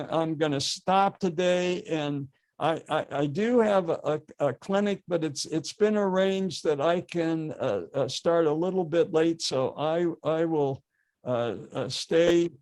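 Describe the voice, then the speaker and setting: An older man lecturing slowly and thoughtfully on history. His voice sits a little high for a man, and he stops often with fillers and false starts as he gathers his thoughts. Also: a little mellow. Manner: halting, many fillers and restarts.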